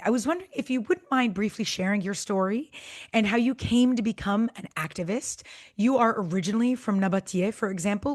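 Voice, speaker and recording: A woman co-hosting a policy podcast, a little hoarse and raspy from losing her voice, talking in an earnest, articulate way. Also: mid-range voice. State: slightly hoarse, voice partly lost. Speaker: podcast co-host. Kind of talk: conversational podcast interview.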